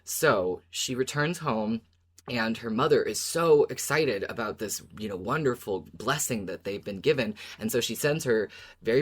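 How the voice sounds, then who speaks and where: A woman co-hosting a podcast, retelling stories casually in a conversational, giggly way. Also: a bass voice. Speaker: podcast co-host.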